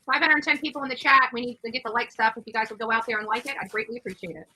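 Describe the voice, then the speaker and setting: A woman hosting a true-crime livestream, commenting over footage in a halting, emphatic way with repeated words. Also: a little high, bright, forceful tone, slight background noise. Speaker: livestream host.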